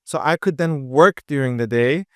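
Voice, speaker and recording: A lively young man from with a crisp voice. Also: mid-range voice, no room sound, studio quality. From Dubai. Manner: energetic, quick, with many fillers and restarts. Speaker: podcast guest, entrepreneur. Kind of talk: casual interview chat.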